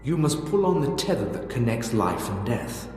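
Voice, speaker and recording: An actor playing an ancient Sith spirit in a video game, a solemn keeper of dark secrets who speaks formally and ominously. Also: male voice, mid-range voice, very animated, normal speed, close to an everyday voice. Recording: cavernous echo with long reverb, music behind.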